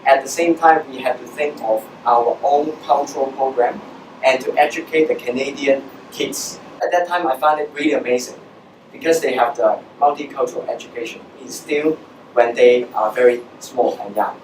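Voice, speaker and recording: A man's voice: mid-range voice, moderate pace. Echoey, distant Hong Kong man presenting. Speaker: social entrepreneur giving a guest talk. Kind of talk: public presentation to students. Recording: echoey room, distant mic, background noise.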